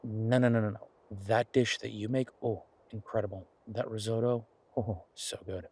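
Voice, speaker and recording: Casting: male actor as devoted chef boyfriend for intimate audio roleplay, lowish husky voice, playful and earnest. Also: fairly even intonation, normal speed, a little mellow. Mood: affectionate, teasing. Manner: teasing, earnest, rambling self-justification. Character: affectionate eager chef boyfriend, playful, food-loving, werewolf romance.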